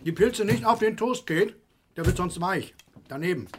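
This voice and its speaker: A man's voice: high-pitched, animated, normal speed, a little hiss or hum. A man playing a pompous, nagging middle-aged father, lecturing sarcastically in a radio play. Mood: impatient. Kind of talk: naturalistic German radio play acting.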